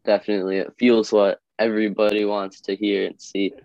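Even, low-pitched man co-hosting, dry sound. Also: fairly quick, creaky, no room sound, dull audio. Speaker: podcast co-host. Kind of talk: casual interview and chat.

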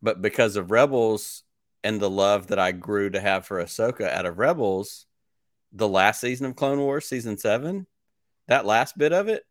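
Dry, low-voiced man in his forties. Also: moderate pace, no room sound. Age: 40s. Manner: enthusiastic, long-winded questions. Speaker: podcast host. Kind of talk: casual interview chat.

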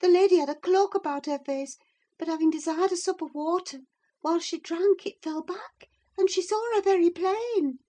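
A woman voicing a panicked, excitable young servant maid, breathless and gushing, in an audiobook. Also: very high voice, moderate pace, pitched above the performer's own voice. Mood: breathless gossiping. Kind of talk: audiobook character acting.

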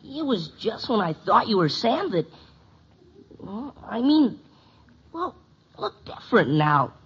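An actor's performance of a boyish, awkward, excitable schoolboy who blurts and stammers, in a 1950s radio sitcom. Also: medium pitch, slow pace, husky, exaggerated voice. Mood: sheepish, earnest. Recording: dull, faintly noisy old radio recording.

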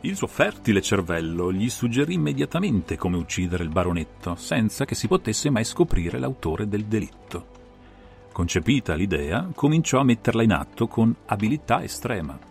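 A man performing an analytical gentleman detective recounting a solved case in a dramatized audiobook, with measured, theatrical phrasing. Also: lowish voice, very animated, vocal fry, soft background music. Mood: grave, analytical. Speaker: audiobook reader and interpreter.

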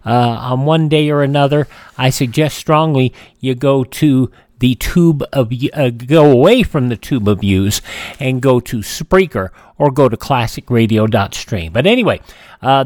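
A man hosting a classic radio program, chatting in a relaxed, rambling way with frequent fillers. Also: medium pitch, no echo, studio quality. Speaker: radio show host. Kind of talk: casual radio host chat.

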